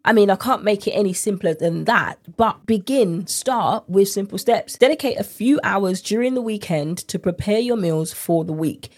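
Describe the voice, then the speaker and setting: A woman hosts a casual coaching podcast on her own. Her voice sits at a medium pitch and sounds slightly stuffed up and nasal. She talks in a chatty, direct way, addressing listeners informally. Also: normal speed, few pauses. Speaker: podcast host and nutrition coach.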